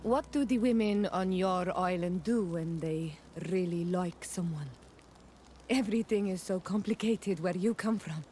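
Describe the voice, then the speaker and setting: An actress playing an earnest, gentle young island tribeswoman in a video game, speaking in measured, formal phrases. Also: on the low side, slight background noise, natural voice. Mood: earnest, forthright. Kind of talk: video game romance dialogue acting.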